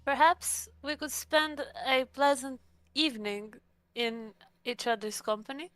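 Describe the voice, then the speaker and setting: A genteel, ambitious vampire lady played by a woman at a game table, high and smooth in voice. She is poised, polite and flattering, recorded close and dry. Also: a little slow, no room sound, soft background music. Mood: charmed, inviting.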